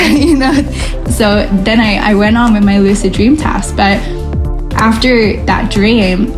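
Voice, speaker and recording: Woman guest, relaxed conversational storyteller, middle-range voice. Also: medium pitch. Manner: chatty storytelling, acts out her own past thoughts in quotes. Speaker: lucid dream researcher and coach, guest. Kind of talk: casual podcast conversation.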